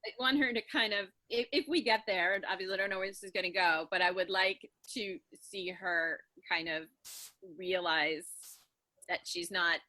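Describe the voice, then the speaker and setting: A woman's voice: medium pitch, normal speed, pushed and bright, no room sound. A woman talking casually on a video call, rambling informally and repeating words as she works out ideas. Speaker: voice actor in a serialized audio drama.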